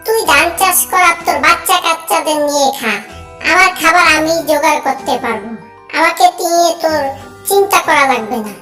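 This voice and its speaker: Female actor for snappy, scornful talking bird in an animated fairy tale. High pitch, mocking questions, faint music bed. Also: a little fast. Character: bad-tempered, scornful talking parrot and crow.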